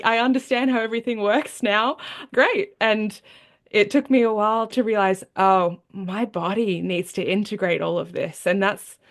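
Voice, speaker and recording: A woman hosting a podcast, speaking in an earnest, musing way and slowing down when she reflects. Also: medium pitch, vocal fry. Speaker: podcast host.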